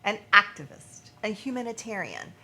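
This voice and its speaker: A woman's voice: medium pitch, lively intonation, moderate pace, sharp tone, slight background noise, low-quality recording. A woman hosting a talk show, interviewing in an effusive, emphatic, gushing way.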